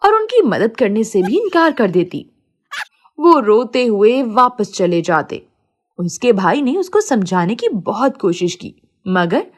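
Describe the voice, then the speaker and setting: A woman narrating a scripted moral story for children as a storyteller. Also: mid-range voice.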